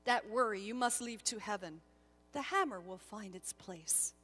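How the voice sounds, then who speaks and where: Solemn angel gatekeeper played by a woman, firm, measured, authoritative yet not unkind, dramatic storytelling. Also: mid-range voice, expressive, fairly bright tone, in a large hall. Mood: solemn, stern.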